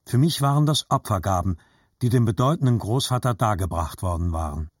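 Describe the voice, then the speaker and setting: A man narrating a scripted literary story in a measured, reflective manner. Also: fairly low pitch, animated, husky, no echo, studio quality.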